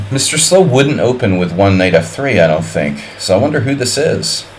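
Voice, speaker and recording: A man's voice: medium pitch, expressive, moderate pace, a little hiss or hum, low-quality recording. A man streaming chess, calling out moves and thinking aloud between offhand jokes and wordplay for his viewers. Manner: wry and offhand, chatting with viewers. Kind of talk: live-stream commentary while playing a simul.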